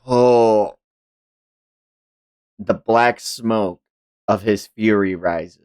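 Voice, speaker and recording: A man's voice: on the low side, extremely slow, a little mellow, smooth. A man streaming a comic read-along, narrating dramatically and reacting in casual, profane language.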